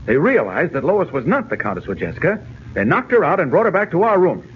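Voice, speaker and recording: An earnest reporter, animated, old radio. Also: male voice, on the high side, fairly quick. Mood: urgent, deducing. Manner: urgent, earnest, persuasive. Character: earnest, insistent adult male reporter investigating a mystery. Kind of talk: 1940s radio serial acting. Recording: scratchy, narrow-band 1940s radio recording.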